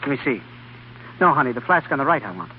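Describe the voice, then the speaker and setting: An actor playing an obsessed, driven young scientist in an old-time radio drama, with a distracted, halting, intense manner. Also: male voice, medium pitch, big pitch swings, breathy edge. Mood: brusque, absorbed. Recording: dull, noisy vintage radio recording.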